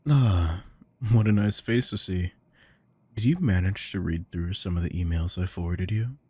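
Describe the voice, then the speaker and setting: A man acting a weary, possessive, domineering office boss with a deep voice, in a scripted ASMR roleplay. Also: normal speed, warm tone, breathy edge, dry recording. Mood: weary, irritated.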